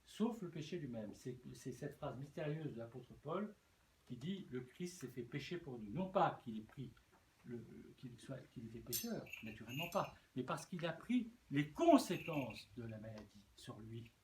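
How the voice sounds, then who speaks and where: A man's voice: mid-range voice, big pitch swings, moderate pace, darkish tone. A man preaching a sermon as a bishop, earnest, often repeating words and restarting. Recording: echoey room with faint noise.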